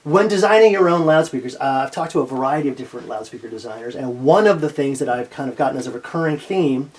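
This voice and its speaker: A man's voice: mid-range voice, lively intonation, fast talker, rough recording. A man interviewing a guest in a casual, rambling, informal conversational way.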